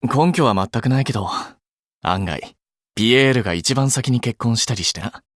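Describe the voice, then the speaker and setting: A man's voice: medium pitch, moderate pace, a bit bright, no room sound, studio quality, lightly acted. A man voicing an earnest, blunt but caring young idol in an anime game, speaking casually and a little awkwardly. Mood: bashful. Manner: casual clipped polite speech, flustered hesitations.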